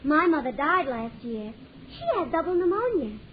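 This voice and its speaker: A woman's voice: high-pitched, sing-song, normal speed, slight background noise. An actress playing a blunt, slangy teenage girl from the city block in a 1940s radio drama, heard over a tinny old broadcast recording. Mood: earnest. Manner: street slang, blunt and kind.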